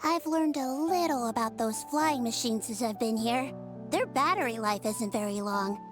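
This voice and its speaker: High-pitched earnest investigator. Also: female voice. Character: earnest, analytical young woman investigator, polite and methodical. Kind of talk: animated video game voice acting.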